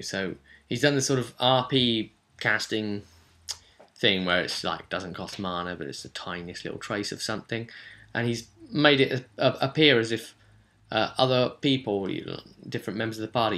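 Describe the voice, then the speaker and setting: A man recapping a tabletop roleplaying session as game master, telling it in an animated way with emphatic asides. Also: fairly low pitch.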